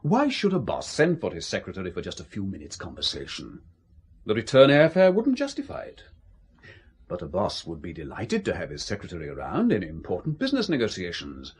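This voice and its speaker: A cold, ruthless intelligence chief in a spy radio play. The voice is husky and swings very widely in pitch, suave and patronising, leaning hard on key words. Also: male voice, exaggerated voice. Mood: cool, calculating. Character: cold, calculating, ruthless male spymaster. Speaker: actor in a spy radio drama. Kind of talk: radio drama acting.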